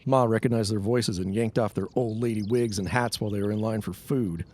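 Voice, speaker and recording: A man playing an easygoing, folksy young man in an audio drama, speaking in an animated way. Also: on the low side, a little fast, natural voice. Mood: amused.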